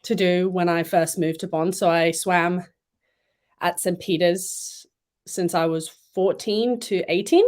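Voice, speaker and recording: A young woman from Thailand and Malaysia talks casually as a guest, her voice sitting fairly low for a woman. She rambles easily, with plenty of "like" and "um" between her thoughts. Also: unhurried. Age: young adult. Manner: chatty, relaxed, many fillers and "like"s. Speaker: guest swimmer. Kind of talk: casual interview chat.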